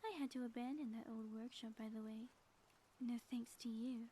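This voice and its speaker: A high, mellow-voiced female supervillain played by a woman in an ASMR audio drama, sly and grandly theatrical. She taunts in soft, pompous, flowery phrases that often sink toward a whisper, with faint noise behind her. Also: steady tone, moderate pace, close to an everyday voice. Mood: gloating, menacing. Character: gloating female supervillain inventor, sly, theatrical, secretly smitten.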